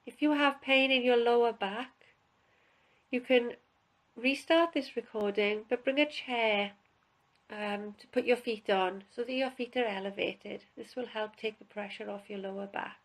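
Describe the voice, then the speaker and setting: A woman instructor guiding a relaxation session in a calm, unhurried, instructional way with pauses. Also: a little high, rough recording. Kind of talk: guided relaxation, spoken instruction.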